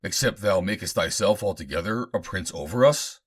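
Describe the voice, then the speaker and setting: A man's voice: low-pitched, a little fast, husky. A man voicing a defiant, aggrieved rebel in a scripture reading, declamatory and accusing. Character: defiant, aggrieved male rebels challenging their leader.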